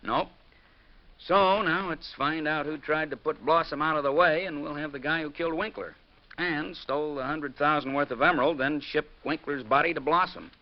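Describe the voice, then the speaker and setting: An actor playing a gruff, chummy police detective in 1950s American radio drama, colloquial and teasing. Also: male voice, fairly high pitch, moderate pace, lightly acted. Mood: matter-of-fact. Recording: muffled old radio recording with noise.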